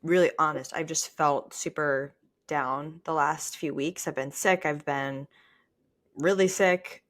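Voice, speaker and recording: A woman's voice: low voice, fairly bright tone. A young adult woman talking casually and conversationally as a podcast co-host.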